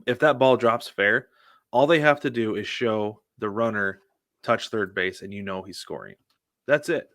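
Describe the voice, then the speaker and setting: A man's voice: lowish voice, moderate pace, a bit bright, no room sound, studio quality. A man co-hosting a sports podcast in casual chat, informal and anecdotal, with plenty of conversational filler. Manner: informal, anecdotal, frequent "like" and "you know". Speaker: podcast co-host.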